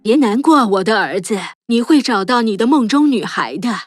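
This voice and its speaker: A woman's voice: a little high, lively intonation, normal speed, no echo, clean studio sound, soft background music. A woman voicing a caring queen mother in a cartoon, speaking soothingly. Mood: gentle. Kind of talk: cartoon dubbing.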